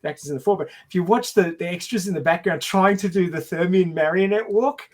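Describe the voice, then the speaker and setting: A man with a fairly high-pitched voice talks casually about film. He tells behind-the-scenes stories as a podcast panelist, slipping into quoted lines in an animated way. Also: fast.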